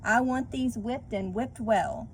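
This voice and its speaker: Woman putting on a booming stern storybook father. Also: slow. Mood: stern, threatening. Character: stern booming father, playfully menacing his two young sons. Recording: noisy room with clear background noise.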